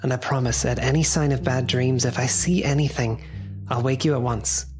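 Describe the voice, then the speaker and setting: An actor playing an earnest Victorian gentleman doctor who narrates gravely and formally in an audio drama. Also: medium pitch, fairly even intonation, over music, lightly acted. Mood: soothing. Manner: grave, formal, measured storytelling.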